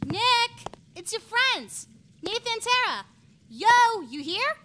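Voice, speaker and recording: Amateur radio play role: actress as anxious, grumbling young woman, high and very animated, noisy recording. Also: slow, full character voice. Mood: anxious, calling out.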